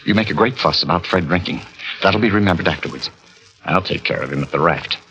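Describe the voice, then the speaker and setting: An actor playing a suave, cold-blooded lover plotting murder in a 1940s radio drama, smooth and persuasive. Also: male voice, sits low, quick pace. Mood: cold, calculating. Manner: smooth, persuasive, falsely hearty. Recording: muffled vintage 1940s radio, loud music behind.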